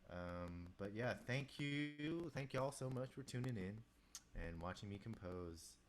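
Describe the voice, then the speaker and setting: A young man with a very low voice, casually talking to himself while composing on a live stream, rambling with restarts and asides. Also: lively intonation, unhurried. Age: young adult. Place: small room indoors. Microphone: desk microphone, wearing headphones.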